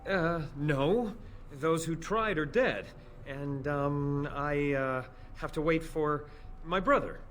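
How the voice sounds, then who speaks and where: An actor voicing a nervous, evasive young spy in a fantasy video game, stammering and defensive. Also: male voice, on the high side, slow, fairly bright tone, soft background music. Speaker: game character.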